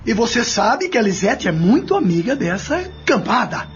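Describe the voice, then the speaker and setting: Casting: man as sneering Brazilian henchman brother, extremely high voice, theatrical and goading; lo-fi sound. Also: sing-song, normal speed, a little hiss or hum. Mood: accusing, spiteful. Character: spiteful, sneering younger brother, a killer thug. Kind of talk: Brazilian audio drama acting. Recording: dull lo-fi audio with faint music.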